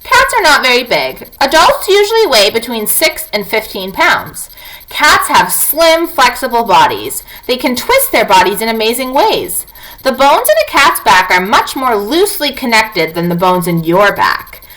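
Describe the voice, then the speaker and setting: A woman's voice: fairly high pitch, sing-song, normal speed, fairly bright tone, poor audio. A woman teacher reading a non-fiction book aloud to young children, talking to them directly with questions and prompts.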